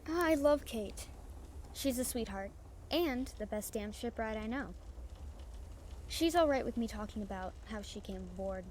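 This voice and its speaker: Woman acting a brash, high-voiced female pirate captain. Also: lively intonation, unhurried, darkish tone. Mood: serious, confiding. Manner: casual, salty swearing, confiding. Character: brash, warm-hearted female pirate captain, fond and teasing. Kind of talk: audio roleplay acting, chatting to the listener. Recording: faint background noise and ambience.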